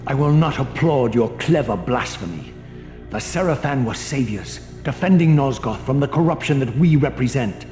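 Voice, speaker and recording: An actor playing a bitter, defiant undead vampire wraith in a video game, with formal and disdainful delivery. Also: male voice, mid-range voice, animated, moderate pace, darkish tone, natural voice. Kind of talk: dramatic video game acting. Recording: echoey hall reverb over music.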